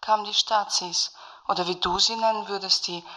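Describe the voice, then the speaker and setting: A woman's voice: mid-range voice, steady tone, moderate pace, sharp tone, lightly acted, low-quality recording. An actress playing a bitter, wronged woman in a radio play, speaking with controlled, pointed sarcasm. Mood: bitter, accusing. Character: bitter, wronged commune woman confronting her betrayer.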